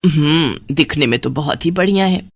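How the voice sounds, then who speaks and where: A woman voicing a strict middle-aged mother who nags and grumbles in a lowered voice. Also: moderate pace, no room sound, studio quality. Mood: grumbling. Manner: nagging, grumbling.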